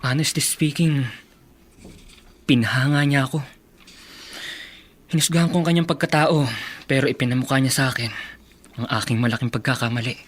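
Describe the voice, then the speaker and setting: An actor playing a chastened young suitor, earnest and regretful, in a Filipino radio drama with a Filipino accent. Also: male voice, medium pitch, steady tone, normal speed, long pauses, a little hiss or hum, lightly acted.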